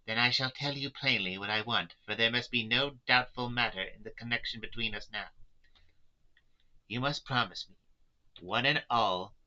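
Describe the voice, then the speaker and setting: A man's voice: medium pitch, normal speed, sharp tone, dull audio. A man voicing an anguished but resolute wife in a novel, pleading earnestly and solemnly with her friends. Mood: grave, insistent.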